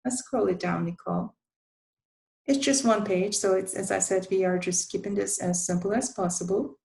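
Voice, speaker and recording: Turkish-native woman giving a talk, flat steady delivery, lots of uh and um. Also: mid-range voice, vocal fry. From Turkey. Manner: halting, many fillers and restarts. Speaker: presenter. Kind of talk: conference presentation.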